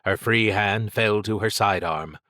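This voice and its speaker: A man reading scripted fiction as an audiobook narrator, in a measured, suspenseful storytelling manner. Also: on the low side, sharp tone, dry recording, clean studio sound.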